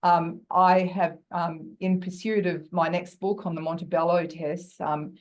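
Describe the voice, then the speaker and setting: A woman with a croaky voice giving an academic talk over a video call, thoughtful and full of fillers and restarts. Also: on the low side, little pitch movement, measured pace, darkish tone, a little hiss or hum. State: croaky from bronchitis. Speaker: guest lecturer.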